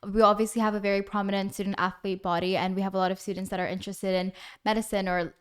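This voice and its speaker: Quick, clear-voiced teenage girl hosting. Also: mid-range voice, flat delivery. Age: late teens. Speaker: student podcast host. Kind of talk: interview questions.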